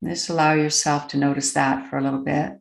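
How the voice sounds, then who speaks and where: A woman with a very low voice and a marked creak leads a guided meditation. She speaks gently and deliberately, leaving long pauses as she goes. The recording has a clearly roomy, echoing sound. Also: little pitch movement. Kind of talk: slow, unscripted guided meditation.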